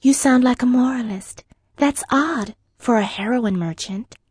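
An actress plays a poised Cockney woman of the screen, pert and challenging. Her voice sits fairly high in a dry recording, as dialogue in a dramatized novel. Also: a little slow, a little mellow, slightly rough, no room sound. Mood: pert, teasing. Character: poised film actress, pert and skeptical, secret-order member.

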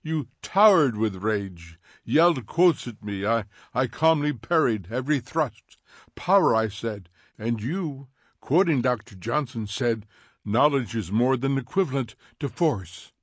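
A man voicing a smug, needling fire captain who mocks in quick theatrical bursts, in an audiobook reading. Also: medium pitch, animated, breathy edge, no echo, studio quality. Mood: taunting. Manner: taunting, theatrical, fast mocking bursts.

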